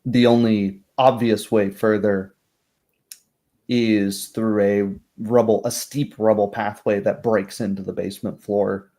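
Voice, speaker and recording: A man narrating a tabletop roleplay game as game master, descriptive and somewhat halting. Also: lowish voice, level tone, warm tone.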